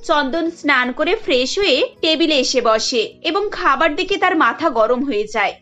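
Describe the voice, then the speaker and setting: A woman's voice: high-pitched, expressive, normal speed, a bit bright. A woman narrating a scripted cartoon family story. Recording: muffled audio with music behind.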